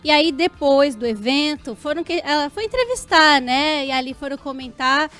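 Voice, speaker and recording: A woman co-hosts a talk show in a high voice. She argues earnestly but haltingly, often stopping to correct herself mid-sentence. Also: lively intonation, a bit bright, faint music behind. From São Paulo, Brazil.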